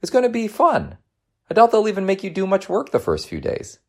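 Storybook mom acted by a male narrator: affectionate, teasing, encouraging, pitched up with very animated swings and some gravel. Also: fairly quick, exaggerated voice. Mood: concerned, cheerful. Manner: coaxing, affectionate, reassuring. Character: gentle, teasing, encouraging working mother.

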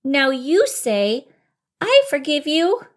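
Woman playing a high-voiced, sulky young bunny. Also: very animated, slow, heavily acted. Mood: frustrated. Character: young male bunny, hot-tempered, sulky but trying.

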